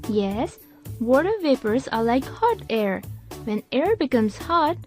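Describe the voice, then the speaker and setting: A woman plays a young, knowing guide in a children's educational cartoon. The voice is high and swings animatedly as it explains, with light music underneath. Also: big pitch swings, slow pace. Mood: explaining.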